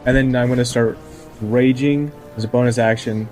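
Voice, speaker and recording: A man's voice: medium pitch, fairly even intonation, moderate pace, a little mellow. A man talking casually as a player in a tabletop role-playing game. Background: background music.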